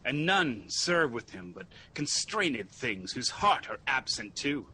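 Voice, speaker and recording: Man as bright, forceful noble army commander. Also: mid-range voice, big pitch swings, slow pace, a little hiss or hum, heavily acted, rough recording. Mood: resolute. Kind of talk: Shakespearean audio drama acting.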